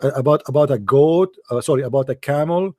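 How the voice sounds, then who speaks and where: A man's voice: mid-range voice, a little fast, darkish tone, dry recording. A man hosting a heated call-in debate, his voice tired and dry, combative and repeating himself. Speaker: debate show host.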